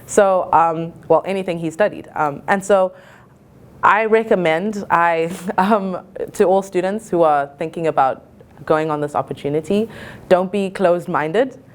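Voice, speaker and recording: A woman's voice: lowish voice, measured pace. A young woman in her early twenties from Zimbabwe, reflecting on her experiences as a college student in a thoughtful, earnest way. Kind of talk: reflective interview-style talk.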